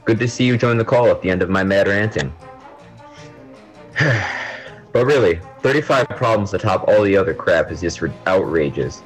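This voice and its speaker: A man's voice: low voice, animated, normal speed, pauses often, soft background music. A man playing a bombastic, melodramatic student gamer with grandiloquent speech, in an amateur audio drama. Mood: grumbling. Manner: theatrical, grandiloquent ranting.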